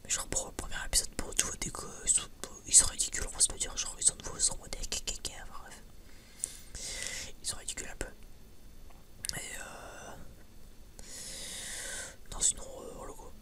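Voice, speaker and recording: An ASMR creator whispering in a breathy, unvoiced voice, rambling informally and hesitantly as if chatting to viewers. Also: brisk, long pauses.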